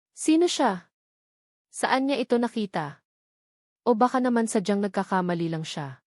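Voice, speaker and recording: Woman, Filipino accent, story narrator, scripted dramatic romance reading, mid-pitched voice, dry close sound. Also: a little mellow, dry recording.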